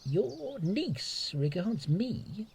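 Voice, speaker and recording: A slow, wry lovestruck lord. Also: male voice, high-pitched, very animated, gravelly, a little mellow, slightly rough, heavily acted. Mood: awkward, earnest. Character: witty bachelor lord, smitten, playful and wry. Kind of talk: Shakespearean audio play acting.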